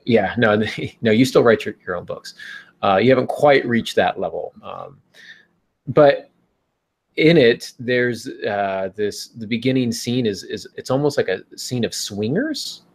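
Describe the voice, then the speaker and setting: A man in a casual podcast discussion, giving reflective writing advice in a halting, thoughtful, explanatory way. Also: medium pitch, lively intonation, vocal fry.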